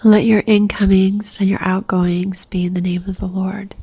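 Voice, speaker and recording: A woman leading a guided religious meditation in a soft, near-whispered voice, gentle and calm, leaving long pauses. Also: medium pitch, breathy edge.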